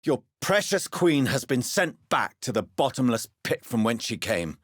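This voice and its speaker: A male actor playing a grave, courteous gentleman doctor turned vampire in a video game, with a British accent, measured formal speech and a husky voice. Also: mid-range voice, sing-song, dry recording, clean studio sound. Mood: stern, intense. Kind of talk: dramatic game dialogue, formal period speech.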